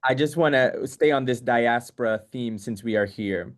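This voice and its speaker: A man's voice: medium pitch, moderate pace. A man with a mid-range voice moderates a film Q&A over a video call. He frames his questions carefully and hedges before asking them.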